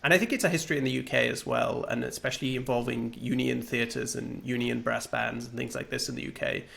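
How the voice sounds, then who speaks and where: A man's voice: medium pitch, steady tone, a little fast, gravelly, a bit bright. A man, a game developer on a panel, talking in a thoughtful, hesitant way with many fillers and restarts. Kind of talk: casual panel conversation.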